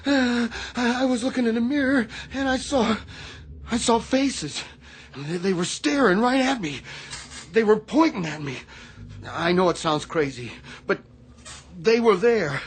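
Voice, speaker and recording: A woman playing a shaken, frightened Navy chief in a radio drama, speaking haltingly. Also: on the high side, lively intonation. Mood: frightened, haunted. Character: shaken, haunted Navy chief named Bell.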